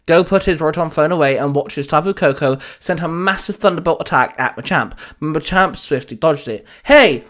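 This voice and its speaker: Male storyteller, fairly high voice, narrating scripted fiction. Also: brisk, few pauses, a bit bright. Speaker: storyteller, fan fiction reader.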